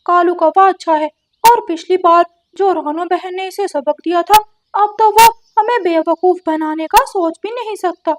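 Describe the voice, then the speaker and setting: A woman voicing a naive, excitable male parrot in a children's cartoon, eager and emphatic in manner. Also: very high-pitched, measured pace. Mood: insistent. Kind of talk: Hindi children's cartoon dialogue.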